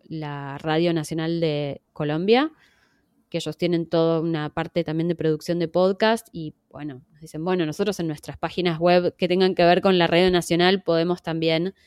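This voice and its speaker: A woman's voice: fairly low pitch, fairly even intonation, a little mellow, clear, resonant tone, dry recording, clean studio sound. A woman with an Argentine accent, a podcast survey organizer explaining patiently as an interview guest, with frequent fillers. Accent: Argentine Spanish. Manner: measured, many fillers and "¿no?" tags.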